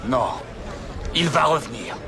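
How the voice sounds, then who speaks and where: An actor playing an anxious, dutiful brother of a prophet in a Bible cartoon, hesitant and pleading. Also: male voice, medium pitch, expressive, unhurried, creaky, crowd noise. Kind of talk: animated Bible film acting. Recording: loud music behind.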